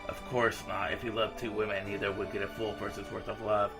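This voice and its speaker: A man reads a visual novel aloud for a gaming video in a low, gravelly voice. His delivery stays fairly flat and informal, with game music playing behind him. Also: a little fast. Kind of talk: reading visual novel dialogue aloud with casual reactions.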